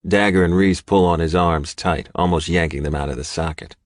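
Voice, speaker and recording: A man's voice: deep voice, steady tone, a little fast, no echo, studio quality. A man reading a scripted first-person audiobook novel as its narrator.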